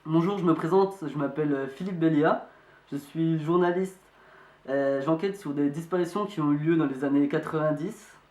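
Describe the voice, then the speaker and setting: A man playing an amateur investigator, speaking politely and haltingly as he asks questions. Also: on the high side, darkish tone, lightly acted. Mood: polite, insistent. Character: young male amateur investigator, polite, insistent, hesitant. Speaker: amateur investigator presenting a video. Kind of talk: hesitant spoken phone inquiry. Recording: echoey room.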